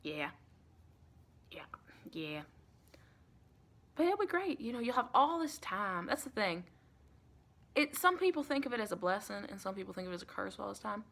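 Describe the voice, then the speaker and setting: Creaky-voiced American woman as a sweet vampire. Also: mid-range voice, sing-song, moderate pace, long pauses, a bit bright, full character voice. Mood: chatty, reassuring. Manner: chatty, rambling, reassuring, calls listener sweetie. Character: sweet, reassuring female vampire welcoming a new recruit. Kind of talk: ASMR roleplay.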